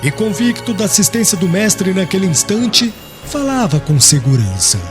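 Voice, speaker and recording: A man's voice: high-pitched, sing-song, moderate pace, music in the background. A man narrating an audiobook in a solemn, literary style.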